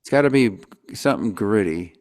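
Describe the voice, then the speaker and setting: A man hosting a casual podcast interview, playful and quick to riff on jokes. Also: on the low side, lively intonation. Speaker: podcast host.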